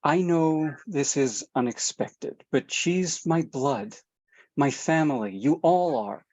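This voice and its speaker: A woman's voice: low voice, expressive, slow, darkish tone, dry recording, heavily acted. A woman reading the part of a troubled father torn between his family members, pleading and apologetic, at a script table read. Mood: strained. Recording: dull, dry lo-fi sound.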